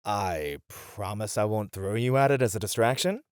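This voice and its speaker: Man playing a confident, snarky warrior, animated and close. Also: lowish voice, normal speed, clean tone, no echo, close to an everyday voice. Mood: snarky, playful. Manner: reasoning, dryly teasing. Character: confident, snarky male fantasy warrior, teasing rival. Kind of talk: ASMR fantasy roleplay audio drama.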